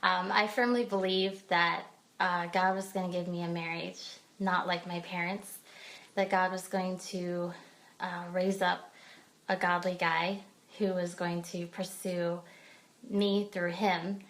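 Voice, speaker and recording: A woman from Pennsylvania, a podcast guest sharing her personal story in a hesitant way, with frequent pauses and fillers. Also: fairly low pitch, flat delivery, fairly bright tone. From Pennsylvania, United States. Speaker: guest speaker. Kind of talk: personal testimony in a podcast interview.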